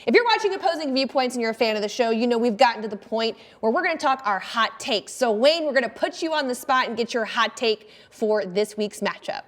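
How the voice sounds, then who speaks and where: A woman's voice: on the high side, expressive, fairly quick, few pauses, a bit bright. An American woman hosting a casual sports discussion show. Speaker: show host.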